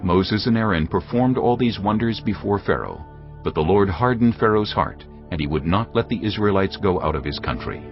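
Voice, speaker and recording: A man narrating scripture in a grave, expressive reading. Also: fairly low pitch, moderate pace. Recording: dull lo-fi sound with music.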